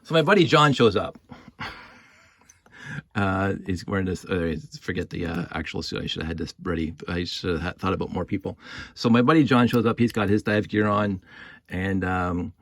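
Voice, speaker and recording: A man's voice: low-pitched, normal speed, no echo. Rambling man telling a story, dry sound. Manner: rambling, repeats words and phrases. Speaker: storyteller and video host. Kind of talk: casual improvised storytelling with toy props.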